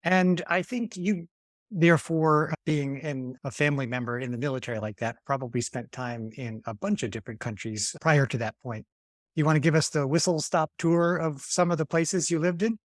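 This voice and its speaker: A man in his fifties hosting a podcast, asking questions in a friendly, measured way. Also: fairly high pitch, no room sound, studio quality. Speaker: podcast host. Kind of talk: hosted interview.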